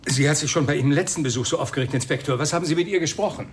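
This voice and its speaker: A man's voice: a little high, racing, a little hiss or hum, lightly acted. A man playing a defiant, embittered husband and father in a German radio crime drama, curt and indignant in manner. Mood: agitated, indignant. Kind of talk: radio play acting.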